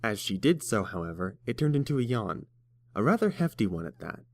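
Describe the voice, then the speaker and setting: A man's voice: on the low side, big pitch swings, a little fast, darkish tone, no room sound, studio quality. A man narrating a story from a script as a storybook narrator.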